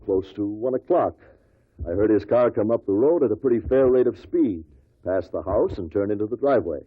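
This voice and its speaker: An actor playing a guarded stockbroker who gives measured, matter-of-fact testimony in a 1950s radio drama. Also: male voice, fairly low pitch, expressive, slightly rough, dry recording. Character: guarded businessman, male stockbroker giving testimony. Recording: tinny, dry old radio recording.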